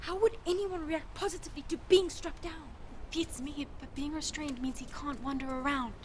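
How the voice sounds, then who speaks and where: A woman's voice: high voice, steady tone, normal speed, lightly acted. A woman playing a bickering rescuer in a fantasy wasteland audio drama. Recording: clear background noise.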